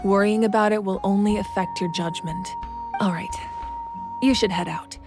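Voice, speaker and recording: A woman playing a composed, reassuring leader of a fantasy nation in a video game, speaking in a measured, formal way. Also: mid-range voice, fairly even intonation, over music, natural voice.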